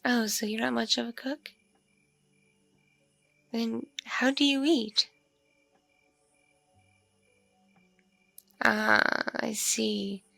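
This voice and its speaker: A woman playing a mature, flirtatious, spacey female vampire in an ASMR roleplay, speaking softly and hesitantly, as if in a one-sided intimate conversation. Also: a little high, fairly even intonation, creaky, no room sound, faint music behind, heavily acted. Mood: curious, teasing. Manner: hesitant, murmuring, lingering pauses with ums and mms. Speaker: roleplay voice performer.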